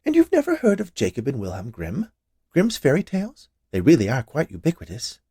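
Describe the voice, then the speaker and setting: A man's voice: medium pitch, wildly swooping pitch, brisk, slightly rough, no echo, studio quality, pitched above the performer's own voice, full character voice. A man voicing a cheerful, chatty large woman who runs a candy shop in a storybook tale. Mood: friendly, probing. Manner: bright, chatty, eager.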